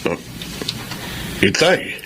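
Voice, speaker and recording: A man's voice: extremely slow, bright, forceful tone, slight background noise. An actor playing a cold, dismissive estranged father in a Filipino radio drama. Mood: suspicious.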